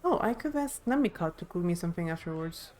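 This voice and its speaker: A woman's voice: lowish voice, expressive, quick pace, darkish tone, lightly acted. A woman voicing an eager, upbeat young princess adventurer, reading game dialogue.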